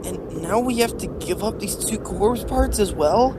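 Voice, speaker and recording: An actor in an anime-style fan dub playing a desperate, bitter young male rider, speaking in emotional, halting phrases. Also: mid-range voice, expressive, heavy background noise, close to an everyday voice. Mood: anguished, desperate. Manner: emotional, halting, drawn-out delivery.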